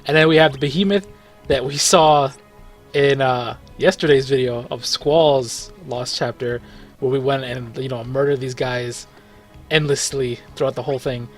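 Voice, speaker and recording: Relaxed male gaming host, mid-range voice, chatty and meandering, faint background music. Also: mid-range voice, moderate pace. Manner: rambling, relaxed, frequent fillers and self-corrections, chuckles. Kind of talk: casual gameplay commentary.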